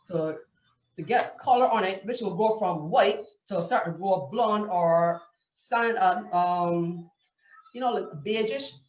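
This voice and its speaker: Cook demoing on a call, step-by-step talker, far from the mic, roomy echo, bit of faint noise. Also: mid-range voice. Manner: explains step by step with many "right?" checks. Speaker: chef cooking live. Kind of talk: cooking demonstration on a video call. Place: home kitchen. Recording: distant echoey room with faint noise.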